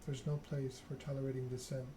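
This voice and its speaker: Man as stern female vampire monarch, solemn, formal, even intonation, noisy game reading. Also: mid-range voice, a little fast, natural voice. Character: stern, regal female vampire ruler passing judgment. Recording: clear background noise.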